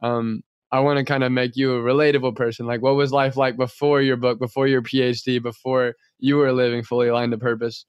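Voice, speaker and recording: A man's voice: medium pitch, little pitch movement, brisk, hardly any pauses, clean tone, no echo, muffled recording. A man hosting an interview, asking questions in a hesitant way with many fillers. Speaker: podcast host.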